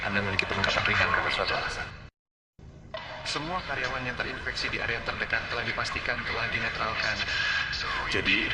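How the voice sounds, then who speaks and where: A man playing a coolly formal corporate mission handler, giving measured, scripted briefings in a video game. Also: on the low side, recorded from a distance, natural voice, rough recording.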